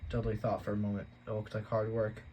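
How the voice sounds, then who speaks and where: A man reading a novel aloud as an audiobook, in plain, steady storytelling. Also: on the low side, fast talker, slight background noise. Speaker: audiobook reader.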